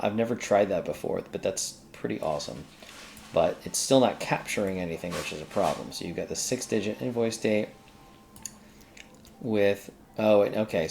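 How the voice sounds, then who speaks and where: Low-voiced man thinking aloud, some background noise. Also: creaky, darkish tone. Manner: informal, hesitant, thinking aloud while working. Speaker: host of a programming tutorial. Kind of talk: casual screen-recorded coding walkthrough, thinking aloud. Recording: noticeable background noise, keyboard typing.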